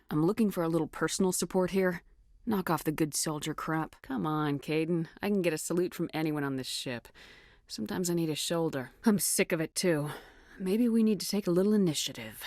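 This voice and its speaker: A woman's voice: lowish voice, darkish tone, no room sound, lightly acted. An actress playing a tough, resolute female military officer in a video game, speaking with firm, clipped authority and dry wit. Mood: intimate, searching. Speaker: starship commander in a science fiction game. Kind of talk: video game voice acting, dramatic dialogue.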